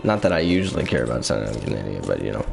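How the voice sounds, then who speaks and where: A man's voice: extremely low pitch, big pitch swings, rapid-fire, vocal fry. A man casually commenting while playing a video game, joking and chatty, with game music behind him. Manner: joking, self-mocking, chatty. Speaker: streamer. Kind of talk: casual let's-play commentary.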